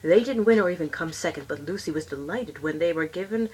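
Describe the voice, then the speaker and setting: A woman's voice: on the low side, animated, brisk, darkish tone, slightly rough. A woman playing a pompous, easily irritated male alien troll who reads a storybook aloud in a stilted, muttering way for comedy. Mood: storytelling. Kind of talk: comedic in-character storybook reading. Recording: noisy amateur recording with clicks.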